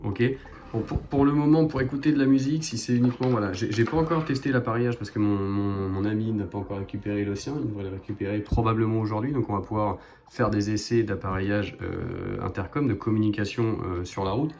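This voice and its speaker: A man with a fairly low voice presents a product in a casual vlog style. He explains things informally and step by step, as someone showing gear hands-on. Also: fast, a little mellow. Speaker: motorcycle vlogger presenting a product.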